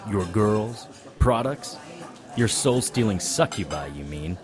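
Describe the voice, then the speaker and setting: An actor playing a wry, world-weary occult detective who narrates in noir audio drama style. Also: male voice, on the low side, lively intonation, takes it slowly, music in the background. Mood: sardonic. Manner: wry, sardonic. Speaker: narrator and lead character.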